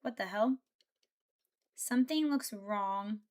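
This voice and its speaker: A woman's voice: medium pitch, slow, a little mellow. A young woman streamer chatting casually while building Lego, thinking aloud and answering her chat. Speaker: VTuber streamer.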